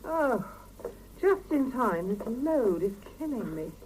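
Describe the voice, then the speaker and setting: A woman's voice: on the high side, big pitch swings, takes it slowly, darkish tone. A woman playing a wry, resigned scientist confiding matter-of-factly in a 1970s radio drama. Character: candid, resigned female scientist confiding in a friend. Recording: noisy old mono cassette radio recording.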